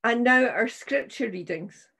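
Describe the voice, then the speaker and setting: An older woman minister reading scripture and preaching in a solemn, measured, deliberate way. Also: on the high side, expressive, creaky, pushed and bright, slightly rough. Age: 60s or older. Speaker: minister leading a church service. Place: small room at home, indoors.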